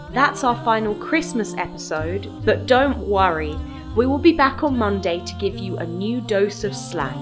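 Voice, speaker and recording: British woman hosting an educational podcast. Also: mid-range voice. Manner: clear, explanatory, spells out words. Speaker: podcast host. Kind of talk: scripted educational narration.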